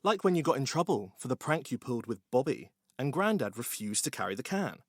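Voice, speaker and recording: A man's voice: medium pitch, big pitch swings, a little fast, dry recording. A man narrating a scripted story with wry, dry humour.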